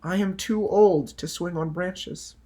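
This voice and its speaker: A man's voice: high-pitched, slow, dark tone. A man voicing a needy, self-absorbed boy who grows up, in a picture-book read-aloud. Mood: weary.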